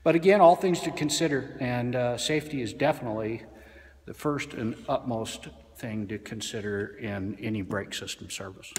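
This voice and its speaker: A man's voice: medium pitch, normal speed. A man in his forties, a car mechanic, explaining brake service in a casual how-to style, filming himself on a phone in his repair shop. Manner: plain-spoken, frequent "uh" fillers. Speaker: auto mechanic. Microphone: handheld phone camera at arm's length. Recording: reverberant shop room.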